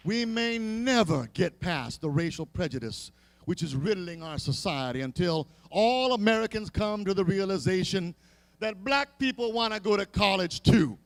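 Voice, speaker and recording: A man's voice: high voice, animated, moderate pace. A man preaching in an impassioned, dramatic oration at a live gathering, with emphatic, rhythmic repetition. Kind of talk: impassioned sermon-style oration at a live event. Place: live public gathering, speaking to an audience.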